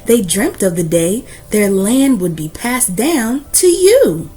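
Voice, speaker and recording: A woman reads a children's storybook aloud in a lively, swooping voice. Her phrasing is deliberate and emphatic, as a read-aloud host would do it. Soft music plays faintly behind her. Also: medium pitch, slightly rough.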